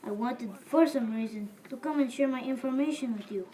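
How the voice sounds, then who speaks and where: A woman's voice: on the high side, brisk, dark tone, close to an everyday voice. A woman playing a helpful, courteous male merchant in an amateur biblical audio drama. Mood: earnest, informative.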